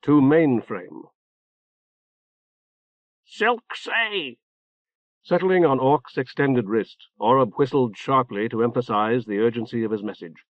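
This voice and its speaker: A man's voice: medium pitch, moderate pace, few pauses, no room sound. A man reading a novel aloud as scripted audiobook narration, on an old cassette transfer. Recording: dull, roomless cassette transfer.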